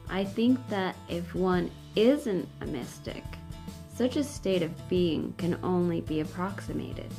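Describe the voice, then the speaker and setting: A middle-aged woman reads a book aloud in a measured, deliberate way, as in an audiobook. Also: on the low side, creaky. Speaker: reader of a book for online videos. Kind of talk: unhurried read-aloud narration of a book. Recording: music behind the voice. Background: faint background music.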